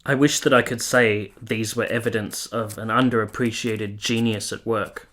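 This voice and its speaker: Earnest period gentleman played by a male actor, radio play. Lowish male voice, measured and formal, a reflective, troubled lover. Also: steady tone, lightly acted. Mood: awed narration. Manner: measured, formal literary phrasing. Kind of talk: radio drama dialogue and first-person narration.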